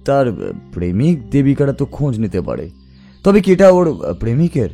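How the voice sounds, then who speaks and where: Probing detective, mellow voice, medium pitch, measured, reflective, audio story dialogue. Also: male voice, big pitch swings, creaky, soft background music. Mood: earnest. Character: thoughtful male detective, earnest and probing.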